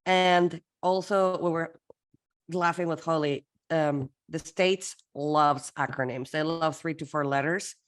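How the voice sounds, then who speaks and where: A woman from Peru presenting a workshop for interpreters, lively and playful, acting out little dialogues as she teaches. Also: low voice, takes it slowly.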